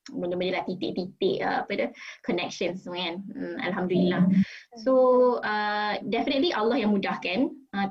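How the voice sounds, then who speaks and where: Malaysian woman doctor, husky voice, muffled lo-fi call audio, lots of fillers. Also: medium pitch. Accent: Malaysian English. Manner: switches between English and Malay mid-sentence, many fillers. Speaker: guest doctor. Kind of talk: casual interview chat.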